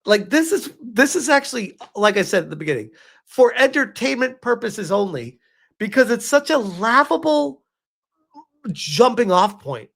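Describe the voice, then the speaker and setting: A man's voice: very high voice, sing-song, normal speed, creaky, fairly bright tone. A man streaming casual commentary, reacting to what he reads and chatting with viewers in an exasperated, sarcastic way, prone to chuckling and swearing. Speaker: streamer reading and reacting to a game review with live chat.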